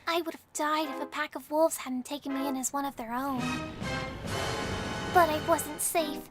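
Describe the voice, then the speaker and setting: A woman's voice: sits high, steady tone, normal speed, music in the background, lightly acted. A woman voicing a young female cartoon pony, telling a tragic backstory with melodramatic earnestness. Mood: tragic, earnest. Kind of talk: cartoon parody dub acting.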